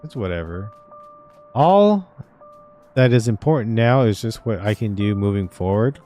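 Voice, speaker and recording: A young man with a somewhat low voice chats casually on a game stream, wandering through his stories with plenty of fillers and restarts. Soft game music plays faintly behind him. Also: lively intonation, measured pace. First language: Vietnamese. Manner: rambling, filler-heavy storytelling. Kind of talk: casual gaming stream chat.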